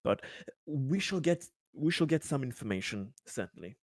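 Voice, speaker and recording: A man's voice: mid-range voice, sing-song, moderate pace, no room sound, clean studio sound. A man improvising in-character dialogue in a tabletop roleplaying game, speaking hesitantly in polite, formal phrases.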